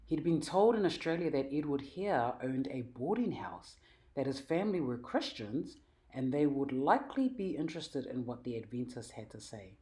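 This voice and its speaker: A woman reading a children's story aloud in an animated, emphatic, expressive way. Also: rumbling low, moderate pace. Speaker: storybook reader.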